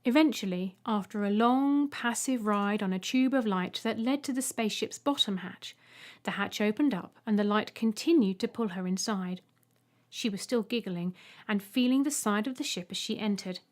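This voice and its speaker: A woman reading a scripted short story as an audiobook narrator, with expressive storytelling. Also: mid-range voice, normal speed.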